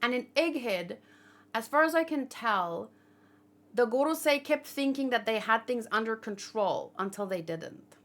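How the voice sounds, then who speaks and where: Female livestream host, on the higher side in pitch, informal discussion style, opinionated and reasoning as she goes. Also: expressive, unhurried, fairly bright tone. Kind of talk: casual chat, manga discussion and commentary.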